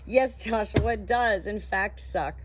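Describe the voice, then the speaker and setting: A woman's voice: mid-range voice, slow, background noise, lightly acted. A woman voicing a shy, wry and frank amnesiac ghost girl in an audiobook, with a hesitant manner. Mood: amused. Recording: dull, muffled lo-fi audio.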